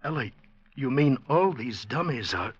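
A man's voice: medium pitch, expressive, unhurried, breathy edge. A man acting a nervous, earnest, lovestruck poet in a 1940s radio drama, speaking hesitantly. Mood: horrified. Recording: muffled old radio recording with music.

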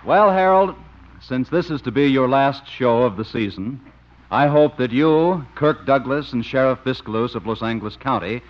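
A man's voice: medium pitch, animated, normal speed, slight background noise. An American man announcing on 1950s network radio in the formal style of that time. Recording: dull lo-fi old radio broadcast.

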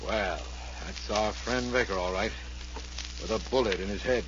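An actor playing an earnest, authoritative district attorney, formal and clipped, in 1940s radio drama style. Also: male voice, on the low side, normal speed, close to an everyday voice. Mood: grave. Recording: noisy, muffled 1940s radio recording.

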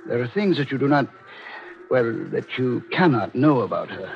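Grave old doctor, muffled radio sound. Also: male voice, mid-range voice, big pitch swings. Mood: somber, measured. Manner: measured, hesitant, gently authoritative. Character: grave old doctor, secretive protective grandfather. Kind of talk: 1940s radio mystery acting. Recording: muffled, noisy old 1940s radio recording.

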